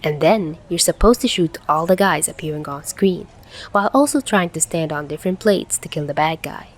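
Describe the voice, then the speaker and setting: A woman voicing a cocky, teasing teenage schoolboy in an audio story, loud and animated. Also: low voice, moderate pace. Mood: heated rambling.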